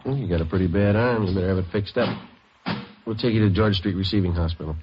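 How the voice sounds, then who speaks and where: Man playing a clipped, very low-voiced radio detective. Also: quick pace, close to an everyday voice. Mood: businesslike. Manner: clipped, deadpan, matter-of-fact. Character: terse, methodical male police detective who narrates his cases. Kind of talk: 1950s radio police drama acting. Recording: dull vintage radio recording with music.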